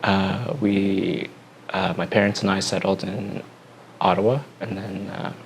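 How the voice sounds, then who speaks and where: A man born in Thailand answers interview questions about his art. His voice is very deep, and he hesitates often, leaning on fillers between phrases. Faint background ambience sits under the speech. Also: little pitch movement, measured pace, gravelly, a little hiss or hum. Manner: soft-spoken, hesitant, many fillers. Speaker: artist.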